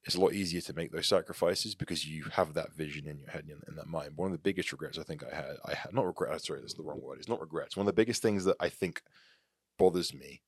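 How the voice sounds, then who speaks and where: A man's voice: very deep voice, fast talker, slightly rough, no echo, clean studio sound. An English man in his thirties, a podcast guest talking candidly and reflectively in a casual interview. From England. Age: 30s.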